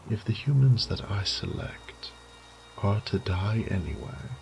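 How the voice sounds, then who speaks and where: Ancient vampire lord, male actor: very slow, creaky delivery, bored and silkily threatening, horror audio-drama style. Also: fairly low pitch, darkish tone, slight background noise. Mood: bored, playful menace. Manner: slow, theatrical, mock-tender and condescending, lapsing into whispers.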